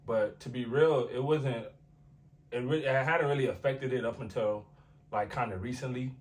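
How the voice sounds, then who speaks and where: A man's voice: medium pitch, moderate pace, far from the mic. A young American man, a rapper, talking casually in an interview, laid-back and slangy with lots of filler phrases. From United States. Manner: laid-back, slangy, many "like" and "you feel what I'm saying".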